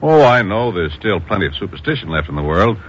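Deep, animated skeptical agency chief. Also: male voice, quick pace. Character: blunt, skeptical male intelligence chief. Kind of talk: 1950s radio drama acting. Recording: dull, noisy vintage radio.

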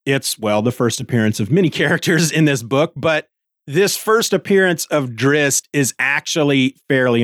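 A middle-aged man hosts a solo podcast in a voice of average pitch. He talks casually and conversationally, drifting into asides and correcting himself as he goes. The sound is dry, with no room echo. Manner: conversational, digressive, self-correcting. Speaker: podcast host. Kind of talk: casual solo book commentary.

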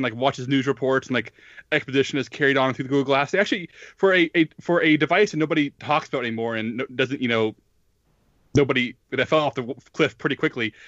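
A man's voice: mid-range voice, a bit bright, no echo, muffled recording. A man talking casually as a podcast co-host, reviewing a film with rambling asides and chuckles. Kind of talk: casual chat.

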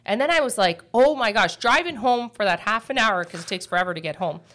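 A middle-aged woman hosts a podcast in a relaxed, chatty way. Her voice sits at an ordinary middle pitch. She tells stories with lively energy and plenty of "you know" and "um". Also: fast. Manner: chatty, animated storytelling with frequent fillers. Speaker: mortgage podcast host. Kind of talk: casual chat.

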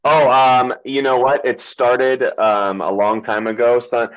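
A man who grew up in Germany talking about wrestling in a casual interview, halting and full of ums, often laughing. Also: medium pitch, little pitch movement, a bit bright. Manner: hesitant, many ums and restarts, laughs often. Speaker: pro wrestler guest. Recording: dull lo-fi sound.

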